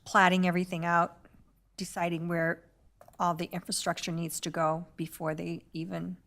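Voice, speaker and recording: A woman with a slightly low voice who sits on a city council. She talks briefly and casually as she sums up what the staff are doing. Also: little pitch movement, moderate pace, a bit bright. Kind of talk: council meeting discussion.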